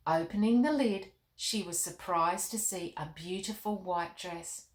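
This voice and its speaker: A woman hosting children's storytime, narrating expressively and chatting to young listeners in an animated, encouraging way. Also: lowish voice, measured pace, echoey room. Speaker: children's author and storytime host.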